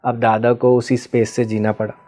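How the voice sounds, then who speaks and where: Male expert guest, warm low-key timbre, teacherly and patient, code-switches into English. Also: little pitch movement, moderate pace. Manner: patient teacherly explaining with frequent checking questions; mixes Hindi with English terms. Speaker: guest expert on ancestral healing. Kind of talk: explanatory interview answers.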